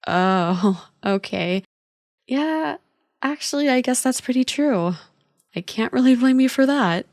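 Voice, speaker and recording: A woman's voice: high-pitched, animated, slow pace, a little mellow, no echo, clean studio sound, exaggerated voice. A woman playing a friend's teasing older sister in a casual, intimate ASMR roleplay, chatty, playful and reassuring.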